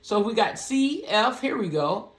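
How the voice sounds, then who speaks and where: A person with a low, deep, raspy voice gives a casual, step-by-step piano lesson for beginners and talks through each step. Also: sing-song, fairly bright tone. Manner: slow, talks through each step, sings scale notes on "ho". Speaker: piano learner teaching beginners. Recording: roomy echo with piano playing.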